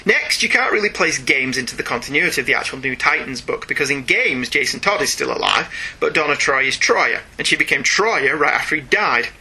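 Bright, forceful man, slightly husky. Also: a little high, animated, a little fast, low-quality recording. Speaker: podcast host. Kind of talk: casual chat and reading listener emails aloud.

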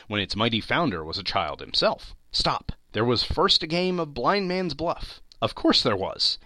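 A man giving a dramatic, theatrical reading of a classic story as narrator, with expressive emphasis. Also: mid-range voice, dry recording. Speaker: podcast host, narrator.

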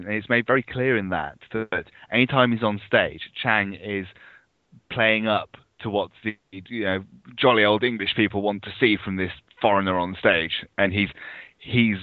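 A man guesting on a casual podcast, explaining his points in a measured way. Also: fairly low pitch, no room sound. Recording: tinny phone-like line.

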